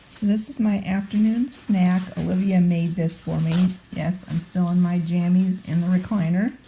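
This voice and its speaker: A woman's voice: lowish voice, darkish tone, slight background noise, low-quality recording. A congested woman chatting at home in a homey, talkative way as she narrates her food vlog from a recliner. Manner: chatty and homey, talking straight to the camera. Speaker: vlogger.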